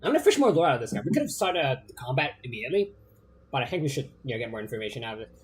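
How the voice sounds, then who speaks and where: A man's voice: medium pitch, sing-song, racing. A man streaming a game, chatty and self-mocking, often trailing off and repeating words as he reacts. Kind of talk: casual game commentary.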